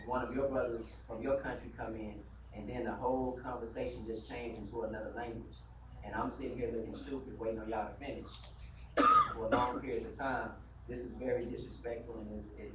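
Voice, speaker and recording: A man speaking earnestly and conversationally at a mosque gathering, leaning on "you know" as he talks. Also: medium pitch. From United States. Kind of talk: impassioned open discussion. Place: mosque hall. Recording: echoey hall from far off, noisy live stream.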